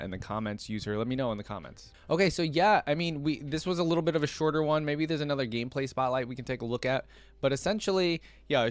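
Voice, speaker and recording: A man hosting a reaction video, talking casually and reacting excitedly as he thinks aloud. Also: medium pitch, no echo.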